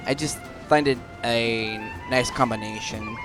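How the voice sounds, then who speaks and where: A man's voice: mid-range voice, measured pace. Male game streamer: mid-range voice, casual off-the-cuff commentary, game music behind. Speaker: gaming streamer, player.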